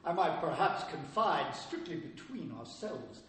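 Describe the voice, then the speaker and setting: A man's voice: on the high side, sing-song, measured pace, fairly bright tone. A man playing a courtly, elaborately polite salesman in a stage play. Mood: evasive. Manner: elaborately polite, hesitant when pressed. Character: courtly, overpolite traveling male salesman. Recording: echoey hall from far off.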